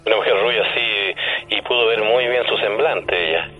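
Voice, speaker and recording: A man giving conversational commentary on a radio show, explaining things in a measured, hesitant way over the phone. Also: on the low side, faint music behind. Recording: tinny phone line.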